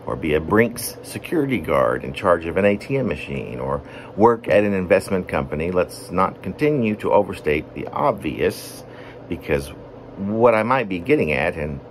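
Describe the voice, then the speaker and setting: Man, deep voice, poet reading, animated intonation, deliberate, wordy, mid-phrase pauses, slight background hum. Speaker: poet reading own work. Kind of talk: poetry reading, rambling semi-narrative monologue.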